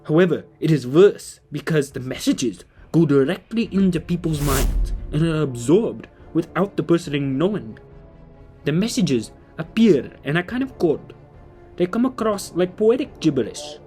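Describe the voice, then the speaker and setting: Man playing a lively, formal, learned gentleman. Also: fairly high pitch, normal speed, faint music behind, natural voice. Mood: earnest, explanatory. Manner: formal, wordy, explanatory. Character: kindly, learned, verbose gentleman leading a group of children. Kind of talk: audio drama dialogue and narration.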